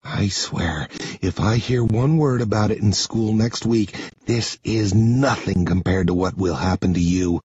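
A man voicing a tough, protective teenage boy in an audiobook, with a forceful, commanding delivery. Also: lowish voice, lively intonation, slow pace, highs cut off, slight background noise. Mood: low, menacing. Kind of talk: dramatic first-person audiobook dialogue.

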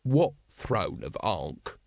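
A man's voice: on the low side, very animated, very slow, creaky, darkish tone, dry recording, voice pushed down, heavily acted. A man voicing a weary, exasperated watch captain in a storybook, terse and growling. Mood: weary, baffled.

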